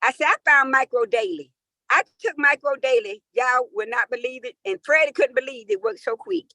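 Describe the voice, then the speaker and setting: High-voiced woman telling stories. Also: moderate pace, dry recording. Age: 50s. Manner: lively, acts out quoted dialogue, emphatic. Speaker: caller sharing a testimonial. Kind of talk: animated testimonial storytelling.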